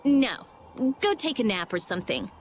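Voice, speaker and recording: A woman voicing a brusque, sharp-tongued teenage girl mage and researcher in an anime-style game. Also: medium pitch, sing-song, normal speed, slight background noise. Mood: curt, urgent.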